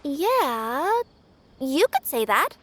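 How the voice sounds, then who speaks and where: A woman's voice: sits high, very animated, extremely slow, music in the background, full character voice. A woman voicing a polite, earnest young cat-girl courier in a video game. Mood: evasive.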